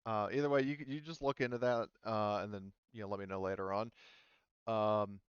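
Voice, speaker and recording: A man's voice: on the low side, steady tone, brisk, clean tone, dry recording, dull audio. A man acting as game master, casually explaining tabletop rules in a rambling, informal way.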